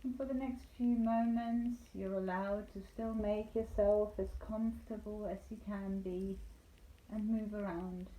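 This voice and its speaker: A woman leading a guided meditation as a yoga nidra instructor, speaking calmly and soothingly with deliberate pauses. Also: medium pitch. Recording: roomy echo, light background noise.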